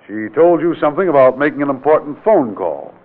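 An actor playing a brisk, authoritative state investigator with a formal, commanding manner in an old radio drama. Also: male voice, medium pitch, big pitch swings, natural voice. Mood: firm, authoritative. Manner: formal, commanding interrogation with clipped questions. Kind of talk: 1940s-50s radio drama acting. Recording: tinny, noisy old radio recording.

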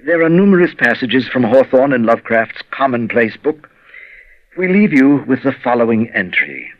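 Casting: male host for eerie stories, deep-leaning husky voice, unhurried, muffled radio sound. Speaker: narrator and program host. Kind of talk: slow macabre scripted narration.

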